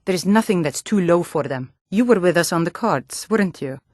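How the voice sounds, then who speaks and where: Low, hardy Nord warrior woman. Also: animated, normal speed, a little mellow, dry recording, clean studio sound. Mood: wry, wary. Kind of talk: scripted fantasy video game dialogue.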